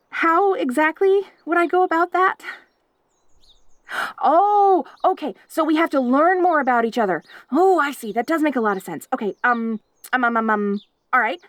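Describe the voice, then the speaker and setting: A woman's voice: high voice, fairly bright tone, dry recording, studio quality. A woman voicing a clingy, obsessive young wolf girl in an audio roleplay, giggling and stammering as she rambles eagerly.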